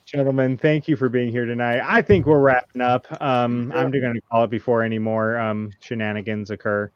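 A man in his thirties with a middle-pitched voice hosts a casual group chat, talking in a laid-back, rambling way full of ums. The sound is a bit dull and lo-fi. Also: steady tone, brisk, clean tone. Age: 30s. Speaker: podcast host.

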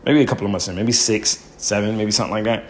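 A young man with a loud, somewhat low voice recounts his early days as a stand-up comedian. He tells it with animated energy and slips into the voices of the people he quotes. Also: quick pace, slight background noise. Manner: acts out quoted people in bursts. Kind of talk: animated storytelling interview.

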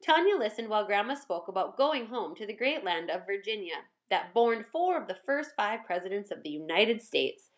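A woman reading a children's story aloud in expressive scripted narration. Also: medium pitch, normal speed, few pauses.

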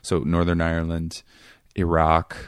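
A man from Seattle, a comedian guest in casual interview chat, talking in a rambling, self-deprecating way with a voice that is getting raspy. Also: low voice, monotone, a little slow, no room sound, clean studio sound. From Seattle area, USA.

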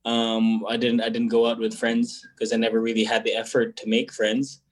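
Reflective man, halting speech. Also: medium pitch, monotone. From Philippines. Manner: reflective, hesitant, many fillers and restarts. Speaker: guest musician. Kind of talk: casual interview answers.

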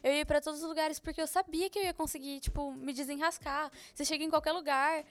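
Fast-talking young Brazilian woman, casual chat. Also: sits high, steady tone, no room sound. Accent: Brazilian Portuguese. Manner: chatty, informal slang, laughs often.

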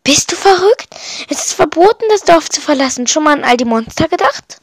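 Lady doing village boy sidekick, high voice, blunt and doubtful, plays it safe, kids story. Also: animated, a little fast, no room sound. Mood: incredulous. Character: sceptical, cautious villager boy, the hero's classmate.

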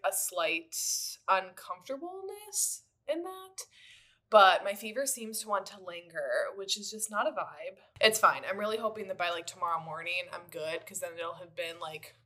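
A woman co-hosts a casual chat podcast. Her voice sits at an average female pitch. She leans on emphatic slang and swears freely as she talks. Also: lively intonation, normal speed, bright, forceful tone. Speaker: podcast co-host.

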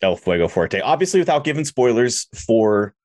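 A man's voice: medium pitch, very animated, moderate pace, a little mellow, no echo, clean studio sound. A man co-hosting a podcast, asking questions in a casual interview style. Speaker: podcast co-host interviewing a guest.

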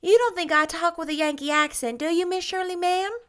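A woman's voice: a little high, sing-song, moderate pace, a bit bright. A woman voicing an eager, anxious young maid who talks in a folksy, rustic way.

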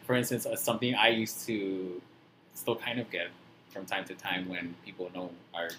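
Laid-back low-pitched man, roomy. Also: level tone, normal speed, noisy background. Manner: laid-back, swears a lot, says "like" often. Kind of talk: casual chat. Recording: roomy echo.

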